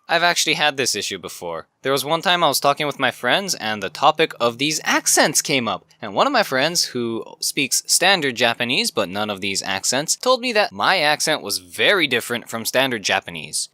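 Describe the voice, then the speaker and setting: A young man from Hawaii with a bright, crisp voice that swings widely in pitch. He tells stories in a casual vlog and leaps into sudden high exclaims. The sound is close and dry, with no room around it. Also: no echo. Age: young adult. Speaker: video creator.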